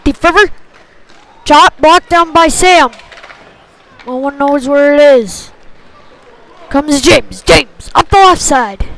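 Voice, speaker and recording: Female hockey play-by-play caller, very high voice, slow pacing, clipped phrasing, excitable on big plays. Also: vocal fry, poor audio. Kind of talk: live sports commentary.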